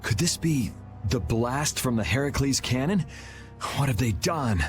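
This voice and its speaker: Actor as a casual, weary adventurer. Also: male voice, mid-range voice, expressive, measured pace, faint music behind, close to an everyday voice. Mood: questioning. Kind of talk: game cutscene voice acting.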